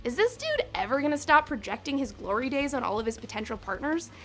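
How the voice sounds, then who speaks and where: Young woman, high voice, sarcastic and profane, countdown host, faint music behind. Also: big pitch swings, fast, fairly bright tone. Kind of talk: snarky countdown commentary.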